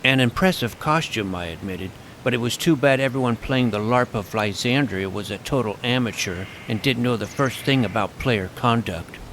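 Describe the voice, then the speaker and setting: A man's voice: mid-range voice, moderate pace, few pauses, a bit bright, a little hiss or hum, low-quality recording. A man narrating a comic fantasy adventure in the first person as its self-important young hero.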